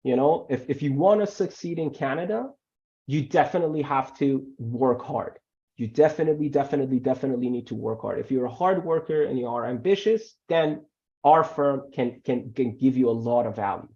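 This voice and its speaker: A man's voice: medium pitch, brisk. Man on a muffled video call. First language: Farsi. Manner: animated, repeats words and restarts when emphasizing. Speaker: immigration lawyer, guest. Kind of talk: webinar Q&A answers.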